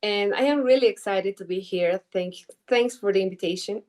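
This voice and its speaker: A woman in her twenties from Ecuador, speaking English with an Ecuadorian Spanish accent as a native Spanish speaker, answering interview questions haltingly and pausing often to search for words. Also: medium pitch, a little mellow. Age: 20s. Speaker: guest trader. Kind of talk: interview answers over video call.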